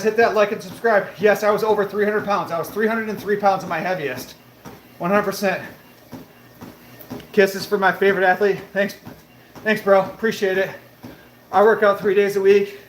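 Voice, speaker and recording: A man in his late thirties hosting a live stream from his treadmill, answering viewer comments in an upbeat, motivational way. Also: sits high. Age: 30s. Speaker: livestream host. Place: home room with a treadmill, indoors. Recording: roomy echo, faint background noise.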